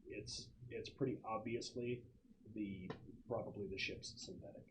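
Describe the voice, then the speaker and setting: A man acting as game master, casually narrating a tabletop game in a halting, explanatory way. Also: fairly low pitch, steady tone. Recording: dull lo-fi recording with clear noise.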